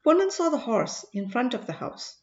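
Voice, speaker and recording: A woman's voice: on the high side, big pitch swings, normal speed. A woman reading a novel aloud as scripted audiobook narration, telling the story and its characters' thoughts. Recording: roomy echo, dull lo-fi sound.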